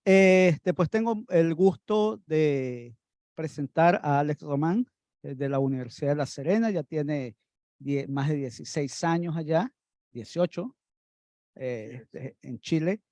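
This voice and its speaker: This is a man hosting an academic colloquium in a lecture room. He speaks slowly and haltingly, often filling pauses with "este". His voice is mellow and fairly high for a man, and the sound is dry. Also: no echo. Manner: hesitant, with many filler words. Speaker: colloquium host. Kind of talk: introducing a speaker.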